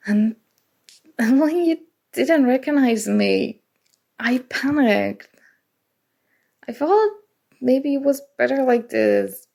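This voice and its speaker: A woman playing a shy, awkward college-age roommate in an intimate ASMR roleplay, speaking haltingly and nervously. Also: mid-range voice, gravelly, darkish tone, exaggerated voice. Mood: sheepish, apologetic. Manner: hesitant, halting, sighing.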